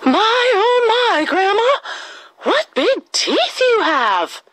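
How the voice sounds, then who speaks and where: A woman's voice: very high-pitched, sing-song, slow pace, a bit bright, no echo, pitched above the performer's own voice, full character voice. A woman voicing a sweet, innocent little girl in a children's storybook, exclaiming in a wide-eyed, exaggerated way. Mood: wide-eyed wonder.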